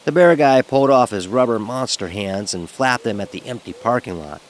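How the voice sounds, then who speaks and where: A man's voice: medium pitch, normal speed, close to an everyday voice, poor audio. An actor playing a frightened, sarcastic young fraternity pledge who narrates his story in a wry, vivid, emotionally charged way. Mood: wry, uneasy. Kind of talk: first-person dramatic horror audio narration. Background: music.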